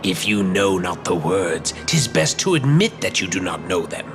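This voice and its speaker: A man's voice: low voice, very animated, normal speed, over music. An actor playing a haughty, composed learned man in a fantasy video game, with formal, archaic, condescending speech. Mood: cool condescension.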